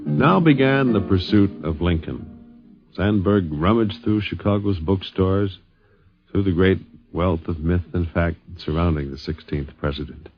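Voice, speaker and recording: A man's voice: low-pitched, measured pace. A man reading scripted documentary narration as a radio narrator. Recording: dull 1950s radio recording, music behind.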